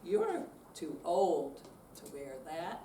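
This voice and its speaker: Slow, mellow, expressive woman reading. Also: fairly low pitch. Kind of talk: readers theater dramatic recital. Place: indoor senior center performance room. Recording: distant echoey room, faint noise.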